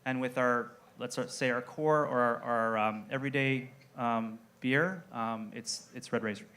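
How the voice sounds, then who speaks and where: Relaxed man telling a story on a panel. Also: medium pitch, a little slow. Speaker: brewery representative on a panel. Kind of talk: panel discussion answer. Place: event room with an audience.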